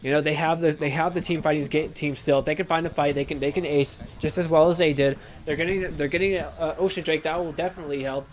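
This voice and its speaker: A young man with a raspy voice, casting an esports match play-by-play by himself, often restarting his sentences and getting louder when the fights break out. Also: a little high, fast, hardly any pauses. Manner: frequent restarts and stumbles, rising to excited shouting in fights. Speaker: esports caster. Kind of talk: solo play-by-play shoutcast.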